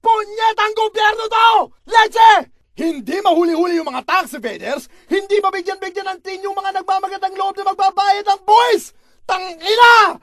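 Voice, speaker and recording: A performer voicing a furious man ranting and cursing at the government, shouting in exasperated, theatrical outbursts. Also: very high-pitched, normal speed, pitched above the performer's own voice. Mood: furious outrage.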